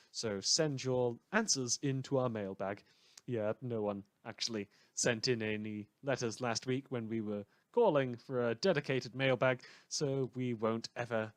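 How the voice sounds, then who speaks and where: Man playing sarcastic radio host, animated pitch. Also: no room sound, natural voice. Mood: puzzled, wry. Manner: rhetorical questions, sarcastic, self-correcting asides. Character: chatty, morally muddled radio host in a superhero world. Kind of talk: fictional radio talk show monologue.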